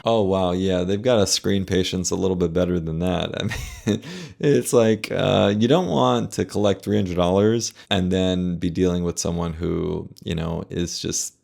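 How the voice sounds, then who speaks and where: A man speaking as a plastic surgeon, giving casual, measured, explanatory commentary in reaction to a video. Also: low-pitched, vocal fry, darkish tone.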